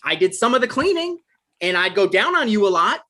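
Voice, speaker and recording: A man's voice: high-pitched, big pitch swings, fairly quick, sharp tone, heavily acted. A man playing an evasive, defensive guy in a table read, stammering and hedging in an awkward conversational way. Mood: indignant. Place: online live stream table read.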